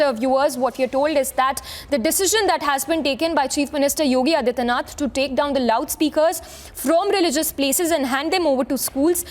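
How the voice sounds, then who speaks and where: A woman with a high voice and an Indian accent anchors live breaking news. She ad-libs haltingly, circling back over the same points as she reports. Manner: halting, repetitive ad-libbed delivery.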